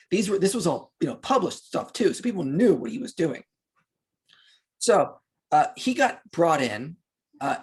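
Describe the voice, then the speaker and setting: A man's voice: a little high, breathy edge. A man speaking as an interview guest, explaining history in unscripted podcast talk with an emphatic, argumentative manner.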